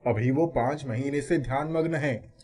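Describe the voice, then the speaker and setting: A man's voice: medium pitch, moderate pace, a little mellow. A man reading scripted story narration as a narrator.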